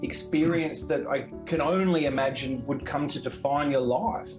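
A man's voice: on the high side, normal speed. A man in his forties with an Australian accent asking enthusiastic interview questions over a video call. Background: music.

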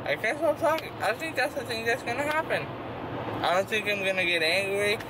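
A man's voice: high voice, fairly quick, noisy background. A young adult man in a casual vlog, talkative and rambling while drowsy after dental surgery. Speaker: vlogger. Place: car, small house rooms and bedroom. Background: music.

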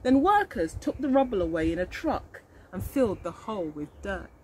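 An older woman teacher reading a picture book to young children expressively, pausing to explain and ask questions. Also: mid-range voice, sing-song, darkish tone. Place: outdoors in a leafy garden. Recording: outdoor garden recording with birdsong and noise.

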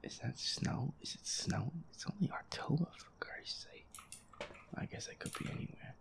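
A man's voice: fairly high pitch, lively intonation, normal speed, creaky, whispered. A man playing a feisty, foul-mouthed werewolf boyfriend in an audio roleplay, grumbling and muttering to himself as he reluctantly plays a horror game. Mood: annoyed, uneasy. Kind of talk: casual gameplay commentary, audio roleplay.

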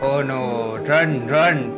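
A man casually reacting to game trailers, speaking in a hesitant, halting way. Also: mid-range voice, music in the background. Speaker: reaction video host.

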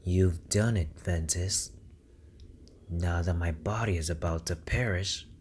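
A man voicing a sinister, taunting young villain in an amateur video game voice demo, with a husky tone. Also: sits low, darkish tone, a little hiss or hum. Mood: smug, scheming. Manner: slow, taunting, menacing delivery. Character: sinister, mocking young male dark villain.

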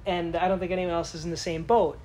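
A woman's voice: fairly low pitch, little pitch movement, fast talker, mellow, a little hiss or hum. A woman giving a live lecture to a conference audience in a casual, chatty way, often asking the room questions. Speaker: conference speaker.

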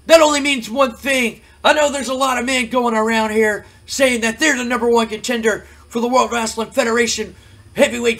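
A man plays a brash male wrestler cutting a boastful promo. The voice is high and sharp, declaiming loudly with heavy emphasis. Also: slightly rough, heavily acted.

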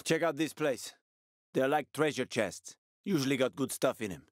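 A man's voice: medium pitch, expressive, normal speed, dry recording, studio quality, lightly acted. A male actor voicing a wry, streetwise survivor guide and ex-soldier in a video game, with colloquial, foul-mouthed talk. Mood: wry, guiding. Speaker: video game companion character.

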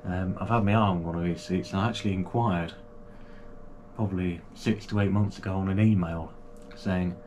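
A man's voice: low voice, steady tone, normal speed, darkish tone, slight background noise. A man, casually unboxing and reviewing gear for his channel in a small home room, chatty and full of fillers and restarts. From United Kingdom. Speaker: kayaking channel host.

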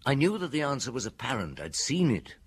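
An actor playing a shrewd, unhurried, wry middle-aged chief inspector with a husky voice in a radio drama. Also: male voice, mid-range voice, sing-song, a little hiss or hum, lightly acted. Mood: reflective.

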